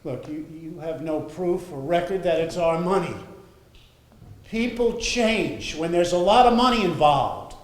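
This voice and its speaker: A man's voice: on the high side, expressive, unhurried. A man playing a skeptical, exasperated working husband in a stage play, arguing pointedly. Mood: warning. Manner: argumentative, pointed. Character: skeptical, exasperated older working husband guarding his money. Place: indoor theatre stage. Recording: echoey theatre stage.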